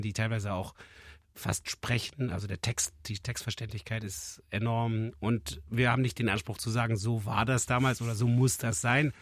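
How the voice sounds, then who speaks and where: A man with a cold and a gravelly voice, a festival director answering interview questions in a measured, explanatory way. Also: medium pitch, dry recording, clean studio sound. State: has a cold, voice husky.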